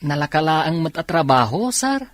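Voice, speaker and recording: A man's voice: on the high side, sing-song, normal speed, no echo. A man with an Ilocano accent playing an earnest, grateful and hopeful young factory worker in a radio drama. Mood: grateful, respectful.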